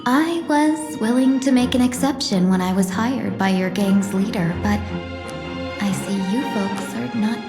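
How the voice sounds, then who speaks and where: A woman voicing a composed, sly fox-woman diplomat for a video game, speaking in a measured, polite and coolly self-possessed way. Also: medium pitch, close to an everyday voice. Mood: cold, menacing. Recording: roomy echo under clear background music.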